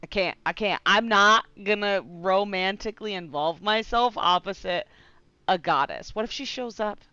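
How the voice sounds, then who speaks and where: A woman reacting casually to a video game on a livestream, slangy, sweary and expressive. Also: medium pitch, moderate pace, clean tone, dry recording, muffled recording.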